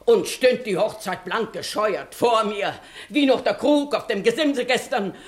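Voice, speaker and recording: An actress playing an outraged, sharp-tongued middle-aged village mother in a classical 1960s radio play, scolding and mocking as she declaims. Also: fairly high pitch, measured pace, lightly acted. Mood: scornful rage. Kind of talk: 1960s German-language radio play, classical stage acting. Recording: roomy 1960s radio studio.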